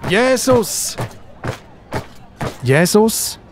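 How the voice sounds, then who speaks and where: Man acting a slow-spoken, earnest Roman centurion. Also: high voice, big pitch swings, music in the background, full character voice. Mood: anxious pleading. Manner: earnest, pleading. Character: Roman centurion, a worried, humble man of firm faith. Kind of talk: children's audio play acting.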